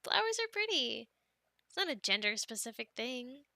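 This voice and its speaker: A young woman voicing an affectionate, teasing girlfriend in an ASMR roleplay, speaking softly, giggling and dropping into whispers, close to the mic. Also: on the high side, sing-song, normal speed, creaky, no echo, full character voice. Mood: playful. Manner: soft and giggly, drifting into whispers.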